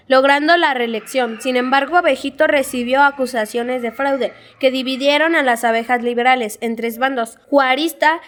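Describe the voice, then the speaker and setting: High-voiced Mexican child narrator. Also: fairly bright tone, slight background noise. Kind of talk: scripted school audio drama narration.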